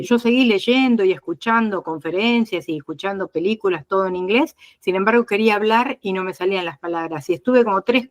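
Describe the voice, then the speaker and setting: Chatty Argentine woman explaining. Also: mid-range voice. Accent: Argentine Spanish. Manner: chatty, explains with many fillers and restarts. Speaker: interview guest. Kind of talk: casual conversation.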